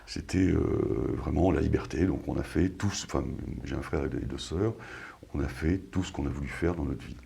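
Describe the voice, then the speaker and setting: A man in his sixties with a cold and a very deep, husky voice, plainly telling his story as an interview guest with many hesitations. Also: fairly quick, dark tone. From France. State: has a cold. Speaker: motorcycle business owner, interview guest. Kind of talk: casual interview talk.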